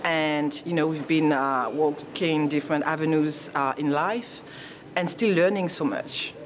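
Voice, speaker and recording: A woman's voice: sits low, measured pace. Hesitant woman from Martinique. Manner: hesitant, many uh fillers. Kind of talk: casual interview. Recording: background noise.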